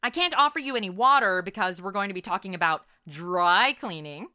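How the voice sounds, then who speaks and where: A female podcast host with a bright, forward voice and very animated pitch. She is playful and quippy, steering comedic interview banter with jokes and prompts. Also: brisk.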